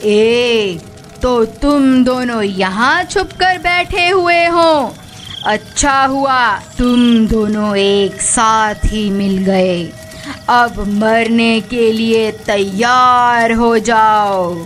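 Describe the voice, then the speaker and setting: A woman's voice: a little high, a bit bright, faint music behind. A woman voicing a menacing, gloating bald witch in a horror-comedy cartoon, taunting and commanding. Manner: taunting, commanding, drawn-out threats.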